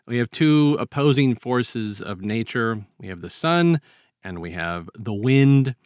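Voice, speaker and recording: A man with a medium-pitched voice, recorded dry and free of room sound, co-hosts a podcast. He talks casually and colloquially, rambling as he explains and slipping into little quoted lines. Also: animated, a little slow, darkish tone, clear, resonant tone, no echo. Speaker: podcast co-host. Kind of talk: casual chat, informal storytelling.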